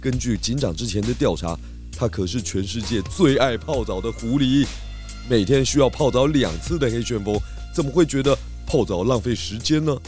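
A man with a Taiwanese accent voicing a clever, calm pig detective in a children's audio drama, patiently explaining clues. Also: mid-range voice, moderate pace, soft background music, close to an everyday voice. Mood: confident explaining.

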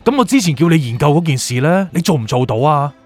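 Radio drama villain, male actor: imperious soul hunter, Hong Kong Cantonese accent, very animated, threatening. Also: fairly high pitch, fast talker. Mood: imperious, angry. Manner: commanding, threatening, pompous. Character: ruthless, domineering male soul prosecutor hunting fugitives. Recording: music bed behind the voice.

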